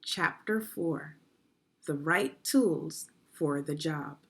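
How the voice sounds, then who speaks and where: A woman narrating an instructional children's read-along as a teacher, phrasing deliberately and guiding young listeners through the text. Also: low-pitched, breathy edge. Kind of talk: instructional read-aloud of a children's nonfiction book.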